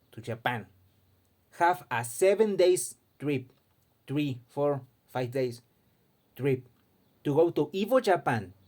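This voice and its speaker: A man's voice: a little high, darkish tone. A man from Mexico, giving an impassioned casual opinion monologue and often repeating words as he searches for them. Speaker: fighting game commentator.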